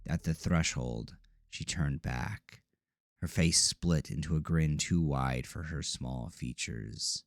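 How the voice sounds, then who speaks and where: A man's voice: a bass voice, takes it slowly, dry recording, clean studio sound. A man narrating a scripted psychological horror story as a dramatic narrator.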